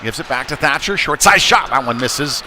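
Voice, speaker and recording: A man giving live ice hockey play-by-play in short clipped calls with hesitant pauses. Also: medium pitch, lively intonation, sharp tone. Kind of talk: live sports commentary. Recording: clear background noise, live rink ambience. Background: ambient sports venue noise.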